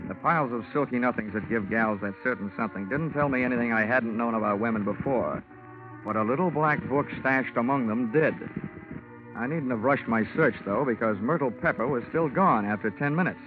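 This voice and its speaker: A man's voice: mid-range voice, brisk, close to an everyday voice. Actor as a hard-boiled male investigator, dry, wry, clipped, muffled old broadcast with music. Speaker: narrator and lead detective. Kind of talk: 1950s radio drama narration.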